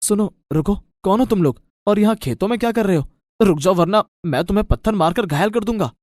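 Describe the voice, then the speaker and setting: Man as a lively, blunt village farmer. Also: high-pitched, a little fast, no room sound. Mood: threatening. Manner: blunt, questioning. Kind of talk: animated moral story dialogue.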